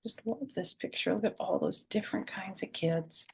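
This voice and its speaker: An older woman doing a children's read-aloud storytime, moving between expressive sing-song reading and soft, gentle asides. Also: medium pitch, moderate pace, creaky, husky. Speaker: storyteller, children's author and former schoolteacher.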